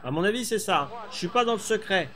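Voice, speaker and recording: Relaxed chatty man streaming a racing game. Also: sits high, quick pace. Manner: relaxed, chatty, thinks aloud with many fillers. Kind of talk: live gameplay chat.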